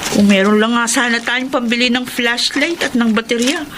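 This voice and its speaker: Woman playing high-voiced hopeful student sister. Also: moderate pace, lightly acted. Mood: apologetic. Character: hopeful, studious young college-bound elder sister. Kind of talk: Tagalog radio drama acting. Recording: noisy radio drama soundtrack.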